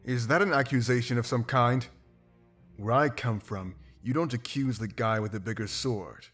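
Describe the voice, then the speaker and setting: An actor playing a confident, pragmatic wolf mercenary in a video game, blunt and self-assured. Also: male voice, mid-range voice, normal speed, a bit bright, slightly rough. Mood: warning. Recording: music behind. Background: game music.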